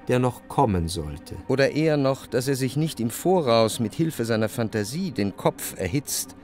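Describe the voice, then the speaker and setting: An actor playing a reflective, well-to-do narrator in a radio play, telling of society life in measured, deliberate phrases. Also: male voice, medium pitch, a little mellow. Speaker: first-person narrator of a literary radio drama. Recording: dry studio with clear music. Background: music.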